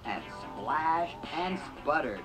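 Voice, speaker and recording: A storybook narrator reading a children's read-along in slow, animated storytelling. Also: medium pitch. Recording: 1980s read-along record with funky music. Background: funky 80s music track.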